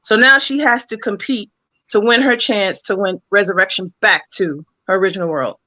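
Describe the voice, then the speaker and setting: A woman speaking as a comic creator and interview guest, explaining things conversationally in measured phrases with pauses. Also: medium pitch, sharp tone.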